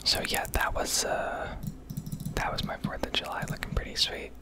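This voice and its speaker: An American woman whispering a casual, rambling ASMR commentary over gameplay, swearing freely. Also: moderate pace. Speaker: video creator narrating gameplay.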